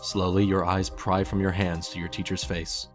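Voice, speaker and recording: A man's voice: low-pitched, level tone, moderate pace, faint music behind. A man reading a scripted story aloud as narrator, addressing the listener as the main character. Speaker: fan fiction narrator.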